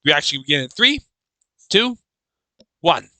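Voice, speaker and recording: A man giving a casual, halting live reaction commentary as host, often repeating and restarting his phrases. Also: a little high, big pitch swings, pushed and bright, slight background noise.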